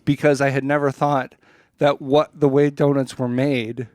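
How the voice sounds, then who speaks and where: A man co-hosting a casual chat show, talking haltingly and repeating words as he thinks aloud. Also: medium pitch, level tone, a little mellow, dry recording, studio quality. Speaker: podcast co-host introducing a radio play.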